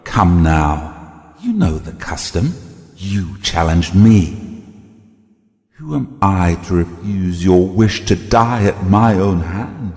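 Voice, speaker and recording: An actor playing a bitter, arrogant vampire prince, haughty and grandiose, in an audio drama. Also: male voice, low voice, expressive, slow, a little mellow, heavily acted. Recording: long cavernous reverb, faint music.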